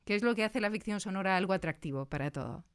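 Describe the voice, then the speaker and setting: A woman's voice: medium pitch, animated, fast, smooth. A woman with an accent from Spain, interviewing in a conversational podcast style. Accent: Spanish from Spain.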